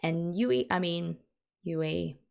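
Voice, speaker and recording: A woman's voice: lowish voice, little pitch movement, slow pace, gravelly. A young Australian woman hosting an anime reaction video, chatting casually and giggling often as she reacts. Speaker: anime reaction channel host.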